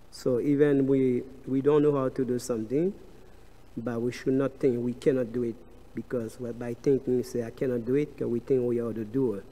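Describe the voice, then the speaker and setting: A man with a strong French accent giving a devotional scripture class, speaking in a halting way with frequent hesitations and restarts. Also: medium pitch, steady tone, warm tone. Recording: long reverb of a large hall.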